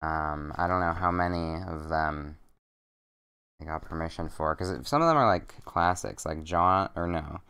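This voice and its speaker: A young man streaming, chatting casually and reading a bedtime story aloud in a deadpan, monotone way with dry jokes. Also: low-pitched, normal speed, clean tone. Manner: self-described monotone, deadpan and joking.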